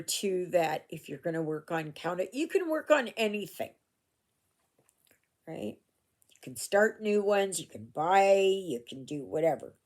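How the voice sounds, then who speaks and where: Older canadian lady rambling slowly while stitching, low voice, phone at home. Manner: rambling, chatty, with fillers and asides. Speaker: cross-stitch vlogger. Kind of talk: casual chat while stitching. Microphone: phone camera.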